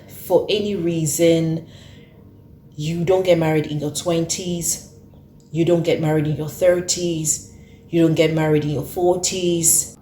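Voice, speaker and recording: Low, slow-speaking woman in her thirties. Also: dark tone, roomy sound, slight background noise. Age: 30s. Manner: earnest, repeats points for emphasis. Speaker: host. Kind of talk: casual talk to camera.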